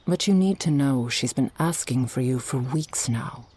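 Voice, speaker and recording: A woman acting an earnest, world-weary orca girl in an audio roleplay, with a gentle, measured delivery. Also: extremely low pitch, husky. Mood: earnest pleading.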